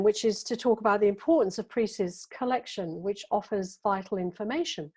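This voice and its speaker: A woman giving a conference paper, reading her prepared text in a measured, careful way with the odd filler. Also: mid-range voice, darkish tone. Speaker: academic conference speaker.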